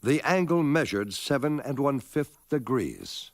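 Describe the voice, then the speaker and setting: A man giving a measured, scripted narration for an educational film, explaining things clearly step by step. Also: medium pitch, big pitch swings, dry recording. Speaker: documentary narrator.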